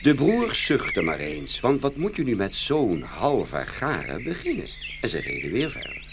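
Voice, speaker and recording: A man narrating a fairy tale in an expressive, scripted storytelling style. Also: medium pitch, normal speed, background noise.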